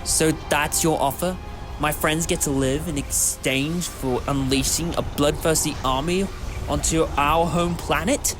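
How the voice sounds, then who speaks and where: A man's voice: a little high, steady tone, unhurried, music in the background, lightly acted. A man playing an eccentric time-travelling hero in an audio drama, wry, modest and moralising, with a theatrical manner. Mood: earnest, indignant.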